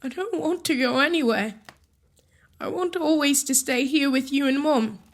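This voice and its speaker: A woman playing a devoted young boy, earnest and gentle, in a radio play. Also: high-pitched, normal speed. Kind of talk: theatrical radio-play acting.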